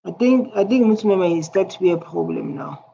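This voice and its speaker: A man's voice: sits high, fairly quick, vocal fry, husky. Blunt Tswana-accented man reacting casually. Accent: South African, Tswana. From South Africa. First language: Setswana. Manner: animated, blunt, repetitive. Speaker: commentator reacting to clips. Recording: dull lo-fi sound with faint noise.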